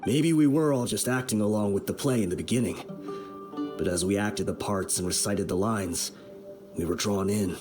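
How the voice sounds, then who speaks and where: A man's voice: fairly low pitch, moderate pace, lightly acted. A man playing a solemn, guilt-ridden and vengeful desert outlaw leader in a video game, speaking like a grave storyteller. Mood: rueful. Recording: music behind the voice.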